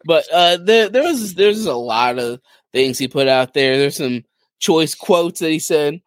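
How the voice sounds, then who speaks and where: Guy podcast co-host, kinda high voice, dry close sound, chatting casually. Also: expressive, unhurried, dry recording.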